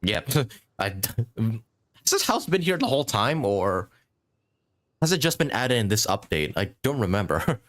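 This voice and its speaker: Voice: medium pitch, sing-song, unhurried, fairly bright tone. A game streamer giving casual, giggly live commentary while musing aloud.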